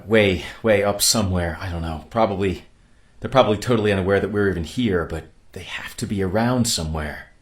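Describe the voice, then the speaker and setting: A man's voice: deep voice, lightly acted. A man playing a weary, commanding spaceship captain in a radio play, grave and authoritative. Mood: anxious, agitated.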